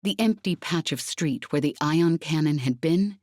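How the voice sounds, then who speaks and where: A woman's voice: low-pitched, measured pace, a little mellow, no echo, clean studio sound. A woman reading an audiobook, narrating fiction in a dramatic scripted style.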